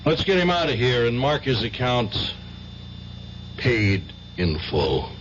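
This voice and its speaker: An actor playing a world-weary, matter-of-fact man offering gruff comfort in a radio drama. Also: mid-range voice, very animated, slow, fairly bright tone. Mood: resigned. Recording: dull old radio recording, faint noise.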